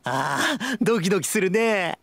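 A childlike, cheerful cartoon goofball of a young man, with a very high, bright voice that swings widely. He is exuberant and boyish, in the manner of an anime mobile game character, and the sound is close and dry. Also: big pitch swings, unhurried, dry recording, exaggerated voice. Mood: curious, musing. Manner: exuberant, bursting into shouts and laughs.

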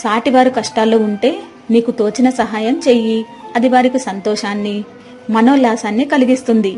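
Lady playing doting older auntie in a village story, highish voice, reassuring, music behind. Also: steady tone, moderate pace, mellow, natural voice. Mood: loving reassurance. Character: affectionate older village aunt consoling her nephew.

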